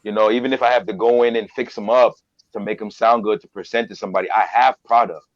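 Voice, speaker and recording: A man's voice: lowish voice, steady tone, quick pace, no room sound. Man chatting casually, dull dry recording. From Trinidadian family. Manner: repeats words and phrases for emphasis. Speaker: guest, voice-over performer and business owner. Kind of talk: casual chat. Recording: dull lo-fi audio.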